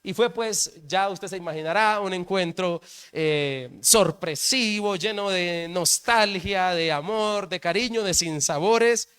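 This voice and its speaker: A man's voice: high-pitched, takes it slowly, fairly bright tone, clean tone. A man, a pastor preaching at a church service, telling a personal story in a conversational way and often asking the congregation questions.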